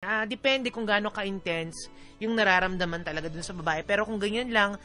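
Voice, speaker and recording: A woman's voice: medium pitch, a little fast, bright, forceful tone, faint music behind. A woman with a Filipino accent co-hosting radio in playful, teasing, casual banter. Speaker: radio DJ and co-host.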